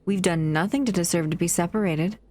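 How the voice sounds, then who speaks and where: A woman voicing a possessive, doting female monster in an intimate audio roleplay, soft, cooing and teasing. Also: fairly low pitch, a little fast, a little hiss or hum, close to an everyday voice. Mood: defiant, mocking.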